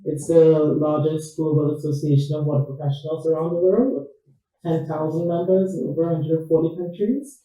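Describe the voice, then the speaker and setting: A man's voice: fairly high pitch, steady tone, fairly quick, dark tone, heavy reverb, poor audio. A man introducing his work in an upbeat, fluent way. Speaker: alumnus, project manager. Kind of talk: casual self-introduction.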